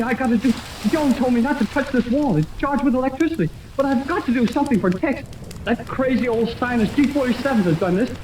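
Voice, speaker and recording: Plucky boy, rather high voice, earnest, exclamatory, 1930s radio drama, distant, noisy recording. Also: reverberant. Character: earnest, excitable, loyal boy adventurer. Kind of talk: 1930s radio serial acting.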